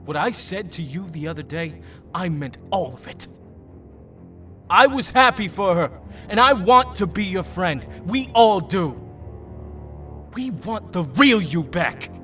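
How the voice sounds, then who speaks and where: Man acting high, bright young hero. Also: expressive, unhurried, pauses often, faint music behind. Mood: earnest pleading. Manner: earnest, forceful. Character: earnest young male hero, loyal wounded friend. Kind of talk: audio drama acting.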